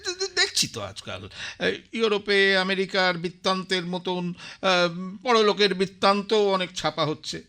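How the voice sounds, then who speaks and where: A musing older scholar. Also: male voice, high-pitched, sing-song, sharp tone, full character voice. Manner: halting, musing, repeats words. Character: older man, learned friend, speaks of the afterlife. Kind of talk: radio play acting. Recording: radio play with faint music.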